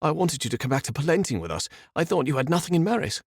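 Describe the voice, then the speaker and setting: A man's voice: a little high, big pitch swings, fast talker, gravelly, no echo, clean studio sound, voice pushed up, full character voice. A man voicing a frightened, trusting young man who pleads and calls out. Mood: desperate. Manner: pleading, shouting.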